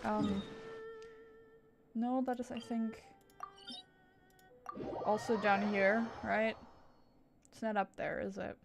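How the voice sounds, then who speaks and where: A woman's voice: medium pitch, steady tone, slow pace, creaky, long pauses, over music. A woman chatting casually on a gaming livestream, rambling, interrupting herself and swearing now and then. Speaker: game streamer. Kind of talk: casual livestream gameplay chat.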